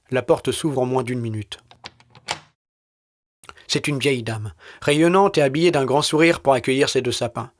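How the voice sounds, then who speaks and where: A man reading a scripted first-person short story in a studio, with an understated, deadpan and colloquial delivery. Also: mid-range voice. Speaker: narrator of his own audio short story. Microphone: studio recording.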